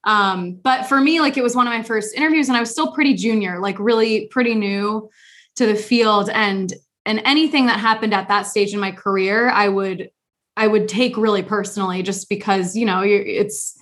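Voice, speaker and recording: A woman's voice: medium pitch, normal speed, a bit bright. A young woman hosting a podcast, talking casually and openly, with lots of filler words. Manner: chatty, frequent "like" fillers. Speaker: podcast host. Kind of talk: casual chat.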